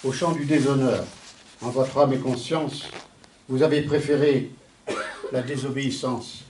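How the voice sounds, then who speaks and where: A man recites poetry in a solemn, declamatory way, in measured phrases with deliberate pauses. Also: medium pitch, fairly even intonation, darkish tone, a little hiss or hum. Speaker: poet reciting a poem. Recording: echoey, distant from the mic.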